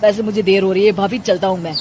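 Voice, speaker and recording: A woman's voice: medium pitch, fairly even intonation, fast, darkish tone, natural voice. A woman voicing a childish young man in a cartoon story, casual and wheedling, with faint noise behind. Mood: carefree, dismissive. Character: childish, pleading young man who adores his sister-in-law. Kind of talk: cartoon moral story acting.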